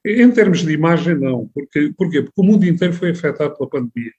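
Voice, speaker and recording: A man's voice: fairly high pitch, expressive, quick pace, muffled recording, crowd noise. A man from the Alentejo in Portugal with an Alentejo accent, talking at length as a guest in a radio interview, with many restarts and rhetorical questions. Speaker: regional tourism board president, guest. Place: radio studio.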